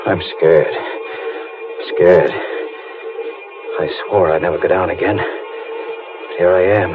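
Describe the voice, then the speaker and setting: Deep-voiced, haunted deep-sea diver. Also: male voice, full character voice. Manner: brooding, halting, melodramatic delivery. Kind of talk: old-time radio drama acting. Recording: muffled, noisy old radio recording.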